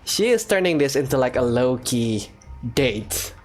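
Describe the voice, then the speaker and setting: Very low-pitched, slow female gamer. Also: sing-song. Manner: amused, casual. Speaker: gaming video host. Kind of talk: casual let's play commentary. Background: faint game music.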